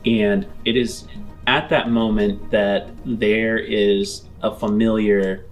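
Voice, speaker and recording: A man narrating a tabletop game as game master, in deliberate phrases with frequent pauses. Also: on the low side, little pitch movement, music in the background. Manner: deliberate, pausing often between phrases.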